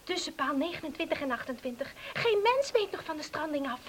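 A woman's voice: high voice, big pitch swings, fairly quick. An actress playing an eager, pleading young country girl in a radio drama. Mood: excited, urgent. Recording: hissy old radio play recording.

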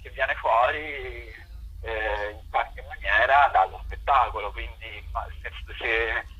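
Husky man answering hesitantly in interview. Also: medium pitch, slow pace, bright, forceful tone. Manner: hesitant, searching for words as he explains. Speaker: playwright being interviewed. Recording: dull lo-fi radio interview line.

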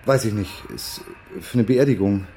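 An actor playing a naive, bumbling young amateur sleuth in a crime radio play, talking casually and haltingly. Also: male voice, lowish voice, warm tone, a little hiss or hum, natural voice, rough recording. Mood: awkward, probing. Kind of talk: naturalistic German radio crime drama.

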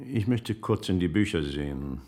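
An actor in a German radio play playing a shrewd magistrate's investigator in old Italy, with a measured, formal, questioning manner. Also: male voice, low voice, animated, a little mellow. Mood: probing, measured.

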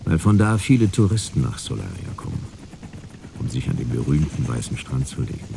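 A man's voice: a bass voice, big pitch swings, a little fast, creaky, heavy background noise, exaggerated voice. An actor playing a laconic detective who narrates his case in a dry, wry way in a radio play. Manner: dry, wry, laid-back. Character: laconic hard-boiled detective telling his own case.

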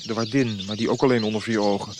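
An actor playing an earnest, inquisitive travelling physician in a conversational radio-play style. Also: male voice, on the low side, steady tone, fast talker, lightly acted. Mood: curious. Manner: probing, conversational. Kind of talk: radio drama acting. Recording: clear noise, birdsong behind.

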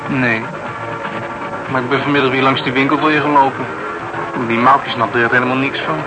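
An actor with a Netherlands Dutch accent playing a cheeky, teasing bar regular in a radio play, talking in a colloquial, needling, questioning way. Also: male voice, medium pitch, fairly even intonation, a little fast, natural voice, low-quality recording. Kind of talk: naturalistic radio play dialogue. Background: loud music.

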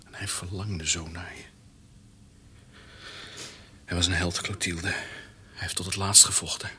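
An actor playing a gentle, grieving male doctor in a radio drama. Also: sits low, flat delivery, a little slow, breathy edge, exaggerated voice. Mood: sorrowful.

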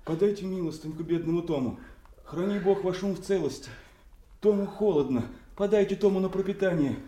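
An actor playing a frantic, pitiful mad beggar haunted by demons, rambling and chanting in a theatrical radio play. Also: male voice, high-pitched, brisk, warm tone, roomy sound, a little hiss or hum, lightly acted. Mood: pitiful raving. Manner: rambling, chanting, erratic outbursts. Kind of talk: theatrical radio drama acting.

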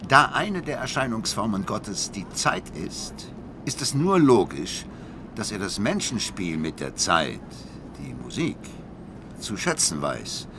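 An actor playing a blind, worldly music critic in a radio drama, speaking eloquently and deliberately with bitter passion. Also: male voice, lowish voice, background noise, full character voice. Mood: opinionated. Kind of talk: radio drama dialogue and monologue. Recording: music behind the voice.

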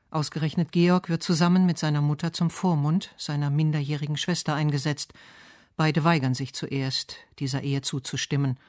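A man with a fairly high voice reads a literary radio essay. He is measured and articulate, and the sound is dry, with no room on it. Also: darkish tone, dry recording. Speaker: radio essay narrator and poetry reader. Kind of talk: scripted literary narration and recitation.

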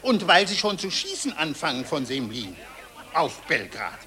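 Excitable newspaper reader, bright and swooping. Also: male voice, a little high, moderate pace. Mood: self-important. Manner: emphatic, circular reasoning. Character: excitable, gullible male Viennese newspaper reader. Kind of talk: 1940s German radio drama acting.

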